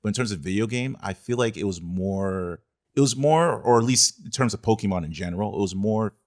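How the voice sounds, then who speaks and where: A man co-hosting a podcast, casually giving film opinions in a halting way, rephrasing as he goes. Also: on the low side, darkish tone. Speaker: podcast co-host. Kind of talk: casual film discussion chat.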